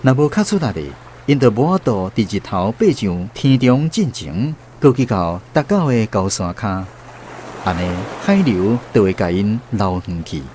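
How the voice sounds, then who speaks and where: A man's voice: fairly low pitch, lively intonation, normal speed, darkish tone, slight background noise. A man with a Taiwanese accent narrating a literary radio drama.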